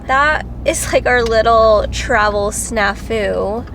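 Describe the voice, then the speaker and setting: A woman's voice: a little high, slow, background noise. A woman, a travel vlogger chatting casually to the camera, a little congested from a cold, with lots of "like" and "um". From Philippines. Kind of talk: casual vlog talk to camera. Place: driving around and outdoors in summer.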